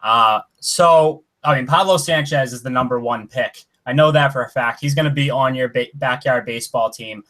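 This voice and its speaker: A man in his twenties hosting a podcast, asking questions in a relaxed way with fillers and restarts. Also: medium pitch, normal speed, few pauses. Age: 20s. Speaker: podcast host. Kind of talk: casual podcast interview.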